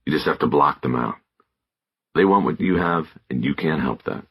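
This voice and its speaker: A man's voice: rumbling low, normal speed, fairly bright tone, breathy edge, no echo, exaggerated voice. A man voicing a seasoned, plain-spoken police detective, a firm and encouraging mentor, in audiobook dialogue. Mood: firm advising.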